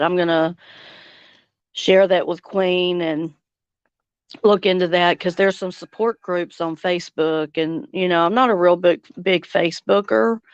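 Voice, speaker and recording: A woman's voice: fairly low pitch, clean tone, dry recording, dull audio. A woman hosting a casual live chat stream, talking informally with her guests.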